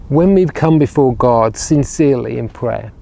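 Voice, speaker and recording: A man's voice: medium pitch, expressive, moderate pace, creaky, mellow. A man, preaching earnestly to camera outdoors on a lapel mic, often repeating words as he builds a point. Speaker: regional minister. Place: outdoors by ruined castle walls on a sunny day. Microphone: clip-on lapel mic.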